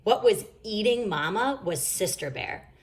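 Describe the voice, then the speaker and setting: A woman's voice: mid-range voice, animated, unhurried. A woman reading a children's storybook aloud expressively, with dramatic emphasis. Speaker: story reader.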